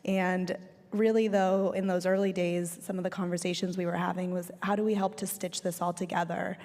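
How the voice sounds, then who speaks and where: A hoarse woman speaking as a panelist, measured and reflective, with frequent ums. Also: on the low side, level tone, darkish tone. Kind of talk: reflective conference panel talk. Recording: reverberant hall.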